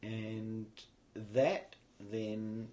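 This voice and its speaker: A man's voice: on the low side, flat delivery, very slow, warm tone. A man with a New Zealand accent, a guest telling his life story in a reflective, meandering way, often restarting his sentences. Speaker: guest, spiritual teacher. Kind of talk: casual storytelling interview.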